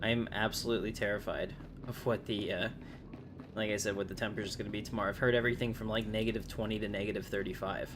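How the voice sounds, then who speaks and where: A man's voice: on the low side, steady tone, quick pace. A man giving casual, chatty game livestream commentary, rambling along in slangy talk. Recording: clearly noisy livestream audio.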